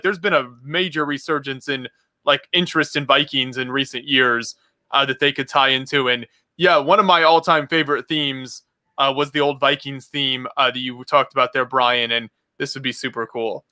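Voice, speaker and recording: A man's voice: mid-range voice, fairly even intonation, brisk, pushed and bright, dry recording, studio quality. A man co-hosting a casual chat, rambling and thinking aloud as he talks. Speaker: co-host.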